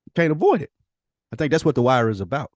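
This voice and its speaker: A man's voice: medium pitch, sing-song, fast, no room sound. An American man co-hosting a podcast, debating casually in slangy, sweary conversational speech. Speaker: podcast co-host.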